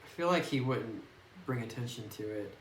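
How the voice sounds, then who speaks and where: A man's voice: mid-range voice, lively intonation, normal speed. A man giving casual, reflective commentary as he plays a game, thinking aloud and recorded on a phone microphone. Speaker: video game player and narrator. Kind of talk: casual playthrough commentary, reading dialogue aloud. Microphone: phone, some distance away. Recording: roomy, noisy distant phone microphone.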